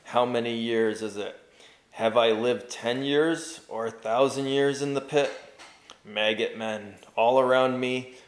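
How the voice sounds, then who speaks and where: A man's voice: medium pitch, steady tone, unhurried, echoey room, close to an everyday voice. A man voicing a tormented enchanted knight bound in a chair, pleading in grand old-fashioned speech and rising to frenzy. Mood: despairing.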